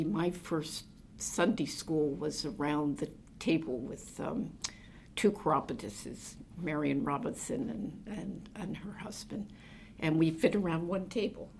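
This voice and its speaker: Low-voiced older woman with a gravelly edge. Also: darkish tone, slight background noise. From New Jersey, USA. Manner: meandering, reflective storytelling with many fillers. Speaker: oral history interviewee. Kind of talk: reminiscing conversation.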